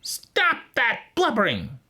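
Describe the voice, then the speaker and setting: A storyteller voicing a wicked old witch from a fairy tale, scolding and bossy, with shrill, drawn-out commands. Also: huge pitch swings, sharp tone, husky, full character voice. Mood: harsh, commanding.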